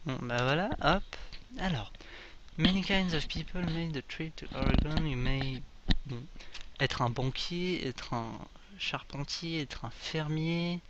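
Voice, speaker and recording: Joking gamer, slightly dull recording. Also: male voice, on the high side, takes it slowly, noisy background. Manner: joking, reads on-screen English text aloud. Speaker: gaming video creator. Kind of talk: casual let's play commentary.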